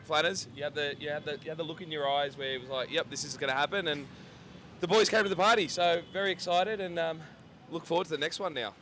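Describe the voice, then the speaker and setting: Fast, bright co-host. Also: male voice, a little high, soft background music. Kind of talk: casual podcast chat.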